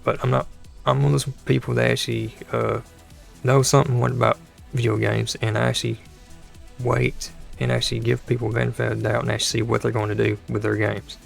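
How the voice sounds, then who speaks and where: A man hosting a gaming channel, talking casually over gameplay with a dry throat and a hoarse voice, rambling and exasperated, often restarting his sentences. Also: medium pitch, level tone, brisk, soft background music. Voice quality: gravelly, creaky voice.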